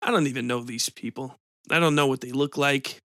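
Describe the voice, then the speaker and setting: A man's voice: medium pitch, brisk, fairly bright tone, no room sound, clean studio sound, close to an everyday voice. A man voicing an irritable, awkward bar patron who answers curtly. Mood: irritated. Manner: curt and uneasy.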